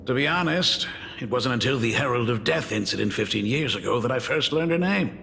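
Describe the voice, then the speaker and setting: Doting, gravelly father, very animated. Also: medium pitch, a little fast, a little hiss or hum. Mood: regretful. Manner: theatrical, effusive, fussing. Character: doting, fretful father and school dean, middle-aged. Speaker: school dean character. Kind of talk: video game voice acting. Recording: long reverb under background music.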